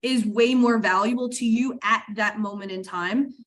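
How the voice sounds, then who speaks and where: A woman business coach hosting an interview, talking enthusiastically in fast bursts with lots of fillers. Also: fairly high pitch, a bit bright. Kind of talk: interview chat.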